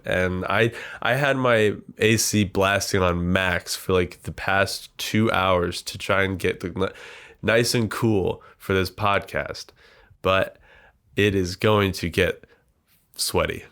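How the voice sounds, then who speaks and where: Young man, low-pitched, stuffy nasal voice, rambling comedic podcast monologue, lots of fillers. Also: fairly bright tone. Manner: rambling, self-deprecating, with frequent fillers. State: congested, nasal. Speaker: podcast host. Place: small warm room with a computer.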